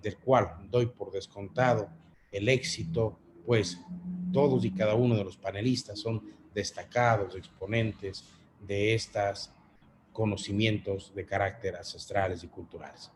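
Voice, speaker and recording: A man's voice: on the low side, steady tone, measured pace, slight background noise. A man who is a university rector, giving formal, ceremonious opening words at an academic webinar.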